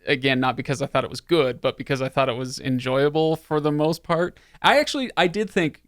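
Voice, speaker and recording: A man's voice: mid-range voice, a little fast, fairly bright tone, dry recording, studio quality. A man hosting a casual, conversational podcast discussion with friends. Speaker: podcast host. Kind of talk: casual panel chat.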